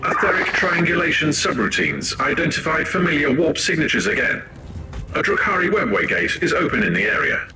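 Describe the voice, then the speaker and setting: A distant, echoey tech priest. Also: male voice, a little high, fairly quick. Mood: clinical. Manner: flat technical jargon delivery. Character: male tech priest, half machine, cold and precise. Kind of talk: video game voice acting. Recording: roomy, distant, music behind.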